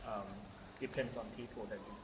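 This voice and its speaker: A young Thai-accented man steering a casual classroom-style discussion, speaking English as a second language with frequent pauses and restarts. His pitch is middle for a man. There is clear background noise throughout the recording. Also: steady tone, roomy sound. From Thailand. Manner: hesitant, searching for words in English. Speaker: discussion leader. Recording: noisy room recording.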